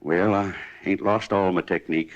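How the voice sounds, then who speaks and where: An actor playing a talkative, homespun cafe owner from Kansas who was shot, in a 1950s radio drama. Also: male voice, low-pitched, steady tone, moderate pace, natural voice. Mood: folksy. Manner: rambling, homespun, plain-spoken. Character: folksy, talkative wounded cafe owner, former pitcher. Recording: dull vintage 1950s radio broadcast.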